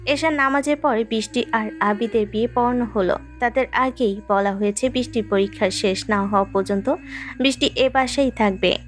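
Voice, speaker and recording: A woman narrates a romantic audio story in a fairly high voice. Her delivery is scripted storytelling, with music playing softly behind her. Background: background music.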